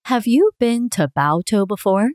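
A woman reading her parts in a scripted dialogue for a language listening test, clear and expressive. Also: medium pitch, unhurried, no echo, studio quality.

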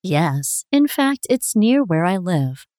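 A woman reading her parts in a scripted dialogue for a language listening test, clear and expressive. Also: fairly low pitch, slow pace, no room sound, clean studio sound.

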